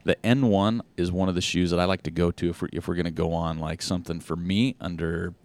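A man's voice: deep voice, steady tone, fast talker, darkish tone, no echo. A man co-hosting a podcast, joking and rambling casually about trail shoes and jackets. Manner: jokey, rambling, many ums and restarts. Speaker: podcast co-host. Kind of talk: casual chat about running gear.

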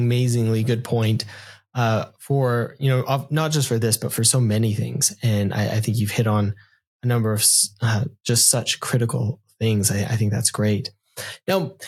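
A man's voice: medium pitch, a little mellow, breathy edge. A man hosting an interview podcast who stammers and repeats words as he praises his guest and asks questions. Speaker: podcast host.

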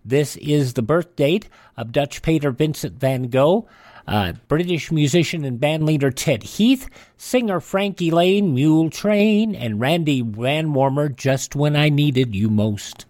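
Higher-voiced male radio host, dry studio sound. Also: expressive, unhurried, darkish tone, no room sound. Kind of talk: informal radio rundown of on-this-day history and birthdays.

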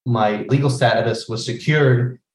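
A man's voice: mid-range voice, unhurried, darkish tone. A young man from Colombia, a guest on a conversation show, tells his story in a friendly, candid tone. His voice sits in the middle range and echoes in a roomy space. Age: young adult. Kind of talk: casual interview.

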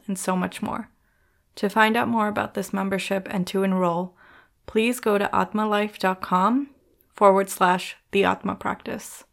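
A woman's voice: mid-range voice, gravelly. Soothing woman guiding meditation. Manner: soothing, measured instruction with gentle pauses. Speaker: podcast host and yoga nidra guide. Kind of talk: guided meditation narration.

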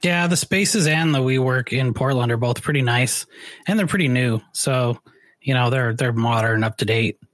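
A man's voice: mid-range voice, moderate pace, fairly bright tone, no echo, clean studio sound. A man hosting an interview, talking in an easygoing, hedging way and asking questions. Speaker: interviewer, podcast host. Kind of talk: casual interview chat.

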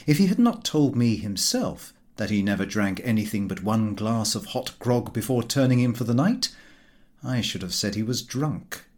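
Expressive male audiobook reader, very lively intonation. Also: on the low side, normal speed. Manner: expressive, storytelling. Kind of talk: scripted comic literary narration.

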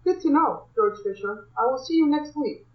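Woman reading a classy proud young lady, poised and calm, echoey dull sound. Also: high voice, normal speed. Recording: muffled, echoey room.

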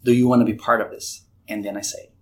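A man's voice: fairly low pitch, little pitch movement, fast. A man from Brazil with a Brazilian Portuguese accent, telling his missionary testimony in an earnest way and acting out remembered conversations with many restarts. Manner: earnest, retells dialogue with frequent restarts. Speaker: missionary guest. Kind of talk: storytelling testimony.

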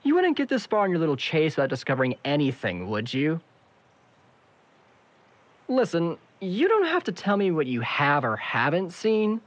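A man's voice: fairly high pitch, wildly swooping pitch, normal speed, no room sound. A young man acting a cocky, evasive, sardonic character with a grudge in an audio drama. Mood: bitter. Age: young adult. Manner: sarcastic, flippant.

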